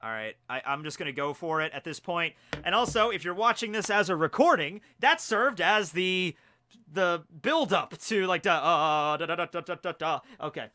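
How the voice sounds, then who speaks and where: A man's voice: high-pitched, animated, moderate pace, bright, forceful tone. A man in his twenties hosting a live stream from a room at home, chatting casually while he fixes technical problems. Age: 20s. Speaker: stream host.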